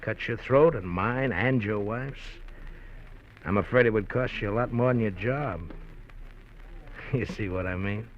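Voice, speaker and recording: An actor playing a menacing, smooth-talking gangster who mocks and threatens with chuckles, in a 1950s radio drama. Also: male voice, fairly low pitch, a little slow, long pauses, close to an everyday voice. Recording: dull lo-fi 1950s radio recording with faint hiss.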